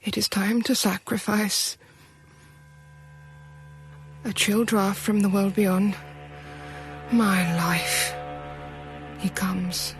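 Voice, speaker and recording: An actress playing a frightened but brave young wife, speaking in a hushed, breathy voice in a radio drama. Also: female voice, mid-range voice, steady tone, very slow, long pauses, soft background music. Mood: fearful.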